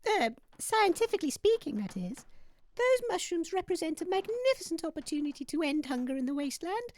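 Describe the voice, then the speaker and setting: Haughty, combative female scientist, tiny sky-high voice, for audio comedy. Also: sing-song, a little fast, darkish tone. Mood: pompous. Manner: pompous, argumentative. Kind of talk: comedy audio sketch acting.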